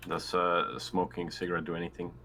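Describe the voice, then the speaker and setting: A man's voice: low-pitched, little pitch movement, fast talker, sharp tone. A man chatting casually as a player in a tabletop role-playing game. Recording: faint background noise.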